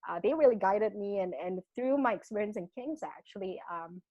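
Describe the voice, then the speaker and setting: A young adult woman from Malaysia with a mixed British and Malaysian accent, speaking as an interview guest in an animated, restarting way. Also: mid-range voice, fairly quick, no echo. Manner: animated, frequent restarts, laughs between thoughts. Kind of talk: casual interview talk. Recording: dull lo-fi dry sound.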